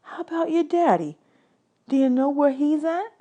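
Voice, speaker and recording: A woman's voice: high voice, lively intonation, moderate pace, warm tone, lo-fi sound, pitched above the performer's own voice. A woman reading a storybook, voicing a doting, motherly woman singer who drawls endearments and exclaims with concern. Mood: tender, concerned. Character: warm, motherly adult woman jazz singer, expressive and doting.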